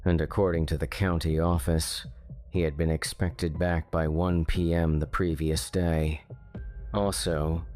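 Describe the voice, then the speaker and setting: Deep, slow, flat-voiced male narrator. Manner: slow, measured, ominous delivery. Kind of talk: scripted horror narration. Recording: faint music underneath.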